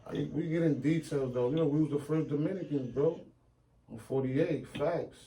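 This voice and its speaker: Mellow-voiced New guy in a chat. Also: a little high, normal speed, a little hiss or hum, rough recording. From New York. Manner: street slang, mixing in Spanish phrases. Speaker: guest rapper. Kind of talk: casual interview chat.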